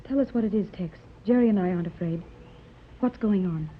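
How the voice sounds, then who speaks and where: A woman's voice: medium pitch, brisk, lightly acted. An actress playing an anxious, earnest wealthy woman searching for her daughter in a 1930s radio drama. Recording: muffled old radio transcription with loud hiss.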